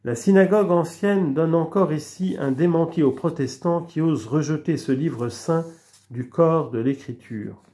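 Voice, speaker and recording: Man, unhurried deliberate book reading, somewhat high, warm dark tone. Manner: measured, deliberate reading with small restarts. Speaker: reader of a religious book. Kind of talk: slow read-aloud of a theology text.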